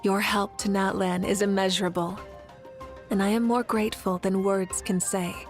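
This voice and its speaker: A young woman voicing a confident, warm warrior leader of fire in a video game, speaking in a poised, earnest, assured way, with music behind her. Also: mid-range voice, little pitch movement, moderate pace, close to an everyday voice. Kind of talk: video game character voice lines.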